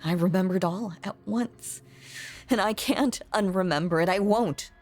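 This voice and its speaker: A woman voicing a lonely, possessive young ghost in an intimate audio roleplay, speaking in a hushed, halting, breathy voice that is tender and sweetly sinister. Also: mid-range voice, faint music behind, close to an everyday voice. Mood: sorrowful, possessive. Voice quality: breathy, often slipping into whisper.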